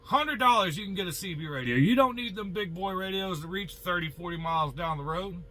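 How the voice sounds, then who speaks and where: A man's voice: high voice, brisk, pushed and bright. An American man, a truck driver, talking casually and bluntly with a folksy, opinionated manner, tossing in rhetorical questions and mild swearing. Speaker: truck driver giving advice. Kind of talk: casual opinionated monologue to viewers.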